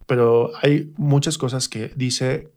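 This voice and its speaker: A middle-aged man with a Mexican accent chatting casually as an interview guest, animated and colloquial, acting out imagined remarks. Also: mid-range voice, takes it slowly. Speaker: guest, stage performer.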